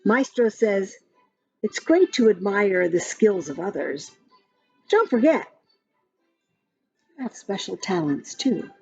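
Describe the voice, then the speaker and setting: A woman reading a rhyming picture book aloud to young children in an expressive, sing-song way, with counting and little asides. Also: mid-range voice, takes it slowly, creaky, pauses often, slightly rough. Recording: muffled lo-fi audio with background music. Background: music from a picture-book app.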